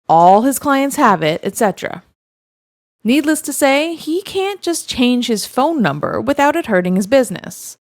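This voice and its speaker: A woman's voice: mid-range voice, dry recording, clean studio sound. A woman narrating first-person stories in a wry, matter-of-fact way. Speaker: narrator reading online stories.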